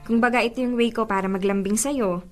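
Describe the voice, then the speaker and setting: A woman playing an affectionate, playfully insistent young girlfriend in a radio drama, expressive and coaxing. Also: on the high side, brisk, faint music behind, lightly acted. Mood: gently coaxing. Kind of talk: Filipino radio drama acting.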